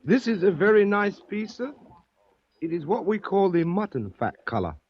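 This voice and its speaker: Lively, high-voiced young Chinese shop clerk. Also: male voice, normal speed, slightly rough, heavily acted. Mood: courteous, eager. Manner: courteous, formal, salesman-like. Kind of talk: 1940s radio acting. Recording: old narrow-band radio recording, faint hiss.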